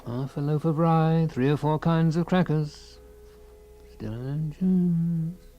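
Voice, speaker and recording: A man's voice: on the high side, takes it slowly, mellow, muffled recording, soft background music. An actor playing a fussy, self-absorbed husband in a radio comedy-drama, rambling and savouring small things, stoic about his creeping paralysis. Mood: wistful relish.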